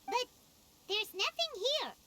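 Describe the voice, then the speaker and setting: A woman voicing a small, excitable, cute fairy girl companion in an anime game, with a squeaky childlike voice. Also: takes it slowly, a little hiss or hum, full character voice. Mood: curious. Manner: bubbly, speaks of self in third person.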